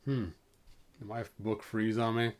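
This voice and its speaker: A man's voice: fairly low pitch, fairly even intonation, measured pace. Man casually reading a storybook aloud. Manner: informal, stumbles over words and breaks off for asides. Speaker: host reading a storybook aloud to online viewers.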